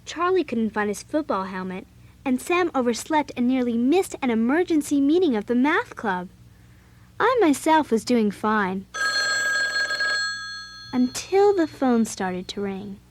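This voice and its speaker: Seeking a bossy young girl narrator for audio drama: high, lively, emphatic, exasperated. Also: measured pace, a little hiss or hum. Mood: wry narrating. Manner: expressive, emphatic, youthful. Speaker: narrator and lead.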